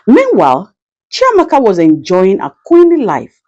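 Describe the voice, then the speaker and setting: A woman's voice: mid-range voice, huge pitch swings, moderate pace, a little mellow, muffled recording. A woman narrating a folktale as a scripted, dramatic storyteller who speaks straight to her listeners. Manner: addresses listeners directly, proverbial.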